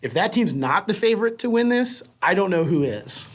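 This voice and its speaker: A man co-hosting a casual sports talk show, chatty and conversational, with quick asides and lots of "like" and "you know". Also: sits high, big pitch swings, husky. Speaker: podcast co-host.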